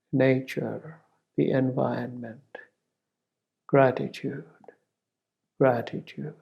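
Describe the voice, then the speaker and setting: Male guide for spiritual meditation: reverent, unhurried, steady in pitch with noticeable fry, on a dull recording. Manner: gentle, reverent, measured with long pauses and repeated words.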